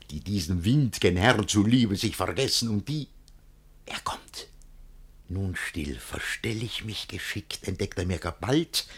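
An actor playing a scheming, possessive middle-aged guardian who feigns friendliness, in theatrical rhymed-verse radio play style with a declamatory manner. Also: male voice, fairly low pitch, fairly bright tone, slightly rough, full character voice. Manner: declamatory, mannered, with exclamations and echoed phrases.

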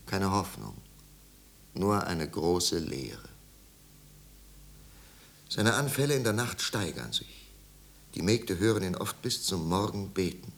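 A man's voice: sits low, fairly bright tone, heavily acted. A man narrating a literary tragedy in a 1950s radio play, grave and intense, with careful articulation.

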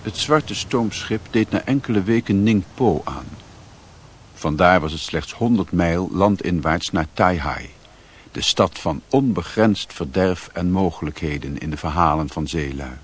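Low-pitched radio play narrator. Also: male voice, lively intonation, unhurried, husky, full character voice. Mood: measured narration. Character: yearning, reflective male narrator of a sea tale. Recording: faintly noisy old radio recording.

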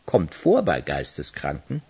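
Cynical crook, very animated intonation, slightly husky, sneering, theatrical, radio thriller. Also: male voice, very deep voice, measured pace, full character voice. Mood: sarcastic. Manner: sarcastic, theatrical. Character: cynical, sneering male criminal accomplice. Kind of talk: German radio play acting.

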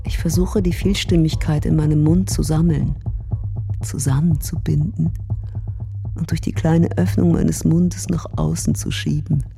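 A man delivering a scripted, poetic first-person monologue in a deliberate way. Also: fairly high pitch, fairly even intonation, creaky, music in the background. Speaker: performer in an audio theatre piece.

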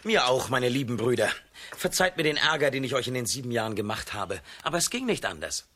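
An actor playing a good-natured, strong young peasant hero in a children's radio drama, earnest and solemnly confident in a declamatory way. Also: male voice, medium pitch, animated, quick pace, bright, forceful tone, slight background noise. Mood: earnest, apologetic.

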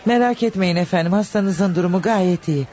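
A woman's voice: lowish voice, a little fast, warm tone, a faint crowd, slight background noise, close to an everyday voice. An actress playing a courteous, busy female reception nurse in a radio play. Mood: polite, busy. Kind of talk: Turkish radio play acting.

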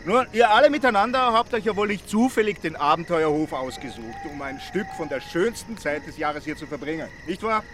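An actor in a radio drama playing a jovial, eager holiday farm entertainer, chummy and announcing to guests. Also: male voice, a little high, animated, a little fast, few pauses, fairly bright tone. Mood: rousing, presenting.

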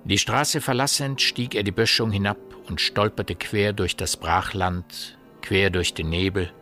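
A man narrating a literary radio play in a grave, measured storytelling manner. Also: lowish voice, fairly even intonation, sharp tone, faint music behind. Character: grave omniscient male narrator of a village mystery.

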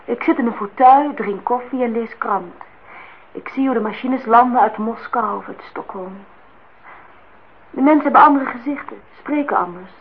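A woman's voice: fairly high pitch, normal speed, husky, narrow, tinny audio. An actress playing a forthright, teasing young woman in a naturalistic radio drama, on a noisy old recording. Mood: breezy, assured. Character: cool, teasing, independent young woman visitor.

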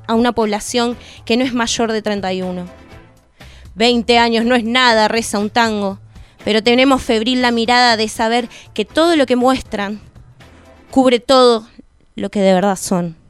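A woman's voice: fairly high pitch, clean tone. A woman with an Argentine accent delivering a scripted spoken-word monologue as a radio presenter. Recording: music bed under the voice. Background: music.

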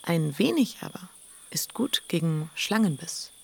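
Lea, proud possessive older sister, lowish voice, radio play w/ crickets. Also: female voice, very animated, measured pace, vocal fry, darkish tone, husky, full character voice. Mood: guarded. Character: Lea, proud elder sister and mother of sons. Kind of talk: radio drama acting.

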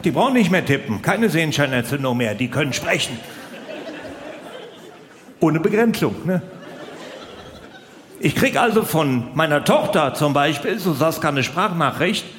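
A man's voice: a little high, sing-song, moderate pace, pauses often, a bit bright, some chatter behind. A middle-aged man doing stand-up comedy in a colloquial, mock-exasperated way, full of rhetorical questions. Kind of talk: stand-up comedy to a live audience. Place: large live venue. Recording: roomy live hall, noisy background.

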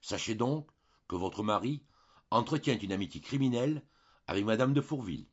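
A man's voice: on the low side, animated, moderate pace, slightly rough, lo-fi sound. Man acting a wrathful, commanding priest. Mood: grave, solemn. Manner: vehement, moralizing, commanding, rhetorical. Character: stern, fanatical priest, wrathful and accusing.

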